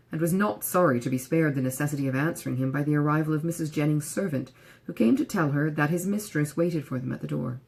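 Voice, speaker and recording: Expressive woman narrating a classic novel. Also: sits low. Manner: measured, expressive storytelling. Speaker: audiobook narrator. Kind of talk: scripted literary narration.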